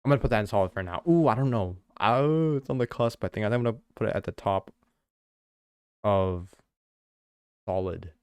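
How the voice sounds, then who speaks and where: A man chatting casually as he reacts to game music, excitable and thinking aloud as he ranks tracks. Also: lowish voice, brisk, long pauses, clear, resonant tone, dry recording, clean studio sound. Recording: music playing in background.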